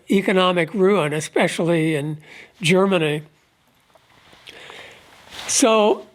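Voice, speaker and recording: A man with a head cold and a stuffed-up voice, giving an unscripted religious commentary at a measured, halting pace, pausing often. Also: high-pitched, a bit bright. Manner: measured, halting delivery with frequent pauses. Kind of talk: unscripted monologue on prophecy.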